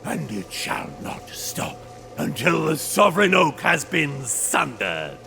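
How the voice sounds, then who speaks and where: Arrogant undead knight game villain, swoopy theatrical delivery, forceful, old-timey courtly talk. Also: male voice, a little high, wildly swooping pitch, slow, creaky, faint music behind, exaggerated voice. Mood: menacing, scornful. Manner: theatrical, pompous, archaic courtly speech. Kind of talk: video game cutscene acting.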